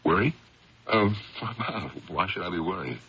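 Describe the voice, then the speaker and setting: An actor playing a middle-aged district attorney, earnest, defensive and haunted, in a 1970s radio drama. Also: male voice, low voice, animated, unhurried, bright, forceful tone, breathy edge, exaggerated voice. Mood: uneasy. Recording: noisy, muffled 1970s radio broadcast recording.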